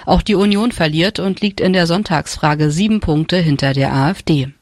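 High-voiced male newsreader. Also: moderate pace, no echo, clean studio sound. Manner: formal, steady. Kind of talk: scripted national news read.

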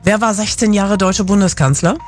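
A woman's voice: medium pitch, fairly even intonation, normal speed, darkish tone, soft background music. A woman hosting a live radio quiz, chatting informally and encouragingly with short interjections. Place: radio studio.

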